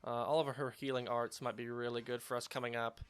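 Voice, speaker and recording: A slightly hoarse man hosting a video game playthrough, chatting casually with lots of fillers while standing at his home setup. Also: mid-range voice, flat delivery, fairly quick. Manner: rambling, filler-heavy, upbeat. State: slightly hoarse after surgery. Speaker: let's play host. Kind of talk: casual gameplay commentary.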